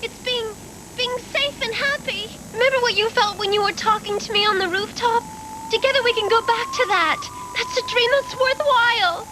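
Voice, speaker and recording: A woman's voice: very high-pitched, normal speed. A woman voicing an earnest, pleading young cyborg girl in a dubbed anime. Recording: noisy old VHS tape recording.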